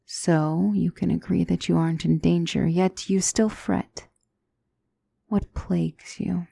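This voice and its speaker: A woman playing a gentle demonic mentor in an ASMR fantasy roleplay, speaking softly with formal, measured, reassuring diction and often near a whisper. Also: lowish voice, fairly even intonation, gravelly, slightly rough, no room sound, full character voice. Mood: probing, teacherly, then gentle concern. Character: gentle demonic tutor, female mentor to a young royal.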